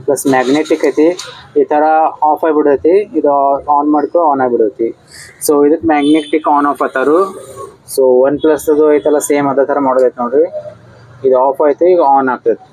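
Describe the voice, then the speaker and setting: A man's voice: on the high side, fairly even intonation, brisk, darkish tone, slightly rough, dry recording, poor audio. A man with a North Karnataka accent giving a casual product unboxing and review, in a repetitive way with short exclamations. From Karnataka, India.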